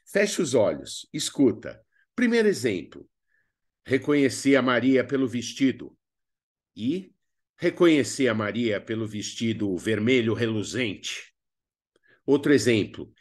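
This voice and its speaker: A man with a Brazilian accent presenting an instructional lesson in a didactic, expressive way with colloquial asides. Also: mid-range voice, a little slow. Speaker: drama teacher presenting a video lesson. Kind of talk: instructional narration with acted examples.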